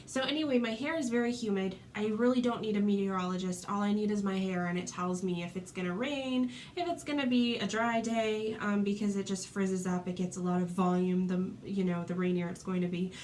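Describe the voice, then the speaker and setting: A woman's voice: medium pitch, fairly quick, hardly any pauses, darkish tone, slight background noise. A woman with a stuffy cold, chatting casually through a hair tutorial at home and saying "um" a lot. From Florida. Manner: chatty, many ums, demonstrating while talking. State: congested with a cold.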